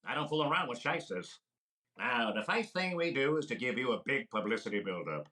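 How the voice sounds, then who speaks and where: An actor playing a brash, fast-talking wisecracking comedian in a deadpan 1940s radio comedy style. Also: male voice, mid-range voice.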